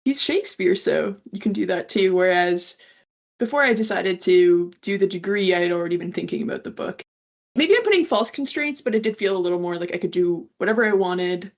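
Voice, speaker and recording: A woman's voice: mid-range voice, expressive, fairly quick. A woman talking informally and thoughtfully as a writer in a casual panel conversation. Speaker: writer, incoming master's student in creative writing. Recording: dull lo-fi audio.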